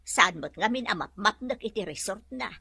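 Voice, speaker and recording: Lady doing plain-talking ilocano woman in a radio play, bright n husky, nosy. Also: medium pitch, expressive, moderate pace, no echo, clean studio sound, full character voice. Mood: inquisitive. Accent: Ilocano Filipino. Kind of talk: Ilocano audio drama acting.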